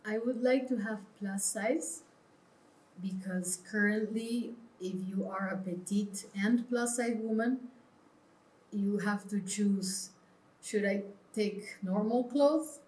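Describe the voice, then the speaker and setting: A woman's voice: mid-range voice, slow, a little mellow. A woman from Peru speaking as an interview guest, explaining her views earnestly in a conversational way. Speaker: interview guest, clothing brand founder. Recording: roomy, echoing sound.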